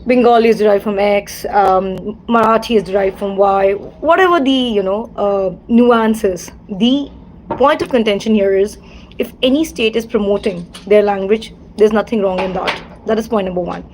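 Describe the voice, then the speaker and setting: A woman's voice: medium pitch, normal speed, darkish tone, a little hiss or hum, low-quality recording. A woman with an Indian English accent, hosting a livestream, talking in a discursive way and circling back to the same set phrases. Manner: discursive, repeats set phrases, frequent fillers. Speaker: livestream host. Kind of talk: casual livestream monologue.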